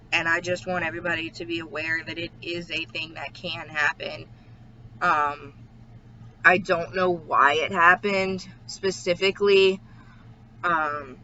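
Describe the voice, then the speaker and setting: Man, home video diary, halting speech full of ums, flat delivery, slightly irritated throat. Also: on the high side. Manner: slow, hesitant, many ums. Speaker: vlogger. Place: at home with his dog. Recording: dull lo-fi with faint hiss.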